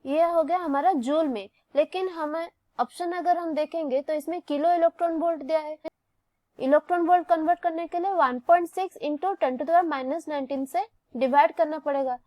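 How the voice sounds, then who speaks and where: A woman with an Indian accent and a high voice works through a lesson as a teacher. She goes slowly and methodically, asking a question and then answering it herself. The sound is close and dry. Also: no room sound, lo-fi sound. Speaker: physics teacher. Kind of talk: step-by-step lesson, explaining a worked problem.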